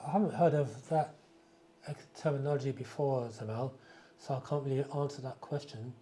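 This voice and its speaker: A man's voice: medium pitch, moderate pace, mellow, a little hiss or hum. A man hosting a live spiritual question and answer session, explaining ideas patiently and repeating key phrases.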